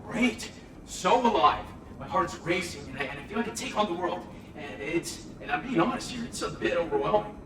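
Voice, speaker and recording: An actor playing an overwhelmed, euphoric test subject in a video game, breathless, excitable and stumbling over words. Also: male voice, high-pitched, a little fast. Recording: echoey, distant, noisy archive recording.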